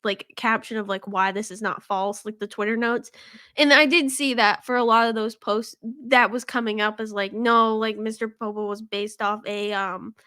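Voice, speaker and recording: A woman's voice: medium pitch, fairly even intonation, normal speed, sharp tone, smooth, dry recording, clean studio sound. A woman co-hosting a podcast, congested from a cold, rambling with lots of "like" and frequent laughs. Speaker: podcast co-host. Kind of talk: casual chat. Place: podcast studio.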